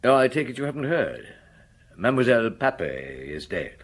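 An actor playing a gruff, weary but patient senior police detective in a radio drama, British and terse. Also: male voice, mid-range voice, very animated, moderate pace. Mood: businesslike. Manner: terse, probing, matter-of-fact.

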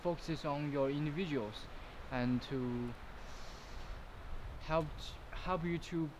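A man's voice: a little high, slow pace. A teenage boy from China, an international student, speaking hesitantly with many fillers in an outdoor interview. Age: teens. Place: outdoors on a lawn by woods. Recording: clear outdoor background noise.

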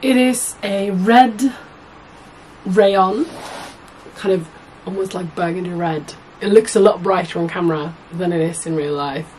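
A young woman with a husky voice, hoarse from a cold, chatting to camera as a sewing vlogger in a small room at home, rambling and correcting herself often. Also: fairly low pitch, measured pace, darkish tone, a little hiss or hum, low-quality recording. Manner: chatty, rambling, many fillers and self-corrections. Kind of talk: casual chat to camera.

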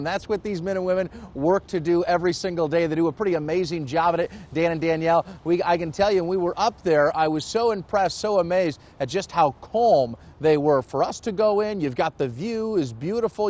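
A man's voice: high voice, a little mellow. An American man, around his thirties, giving a conversational live TV news report as a reporter. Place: outdoors at an airport roadside at night.

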